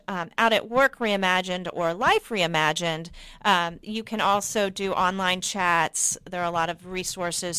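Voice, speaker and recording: A woman's voice: on the low side, fairly bright tone, smooth, dry recording. A woman, a state director of an advocacy group, explaining programs as a radio guest in an earnest way with many ums.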